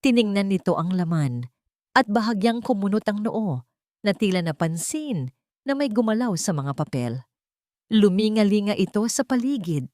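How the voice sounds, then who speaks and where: A woman's voice: medium pitch, lively intonation, normal speed, a little mellow, no room sound, studio quality. A woman narrating a scripted love story in a suspenseful, theatrical way.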